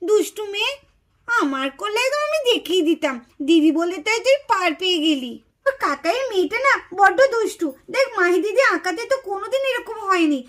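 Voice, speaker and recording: Woman voicing a resentful, high-pitched child. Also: a bit bright. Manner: grumbling, vengeful. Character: resentful gossiping children siding with their big sister. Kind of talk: Bengali puppet-show cartoon acting.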